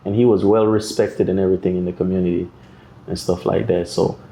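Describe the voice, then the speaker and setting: A man's voice: sits low, fairly quick, poor audio. A man with a Jamaican accent, a guest artist telling stories in a podcast interview and acting out the voices of other people. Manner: animated storyteller, acts out voices of others.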